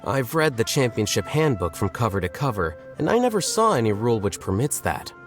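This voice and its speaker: A man's voice: medium pitch, big pitch swings, brisk, soft background music, lightly acted. A man voicing an aloof, sardonic scholar presiding over a contest in a video game, dry and formal. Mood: dry sarcasm. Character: aloof young male scholar-judge, dry, sardonic, unyielding.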